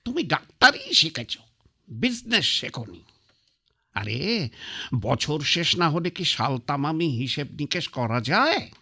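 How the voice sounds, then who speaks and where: A man's voice: on the high side, very animated, takes it slowly, sharp tone, husky, exaggerated voice. An actor playing a blunt, stubborn old man on his deathbed who mocks his doctor and priest in a sarcastic, commanding way. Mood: bitter. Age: older. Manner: sarcastic, commanding, brushes others off. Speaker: bedridden patriarch. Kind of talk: radio drama acting.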